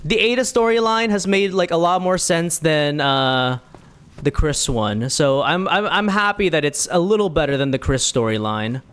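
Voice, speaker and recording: A woman streamer giving casual, playful and excitable commentary as she plays a video game, sometimes swearing. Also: low voice, moderate pace, a little hiss or hum. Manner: playful, excitable, profane, given to silly repetition. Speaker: streamer reacting while playing a video game.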